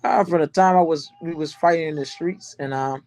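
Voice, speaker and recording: A professional boxer from Barbados answering interview questions in a soft-spoken, halting way, with fillers and repeats. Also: male voice, a little high, slight background noise.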